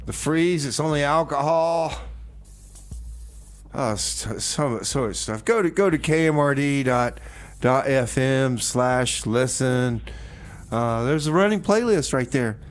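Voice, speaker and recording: A man's voice: medium pitch, animated, takes it slowly. A man hosting a radio music show, rambling through loose, world-weary banter as he introduces songs. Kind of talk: loose on-air banter with comic melodrama.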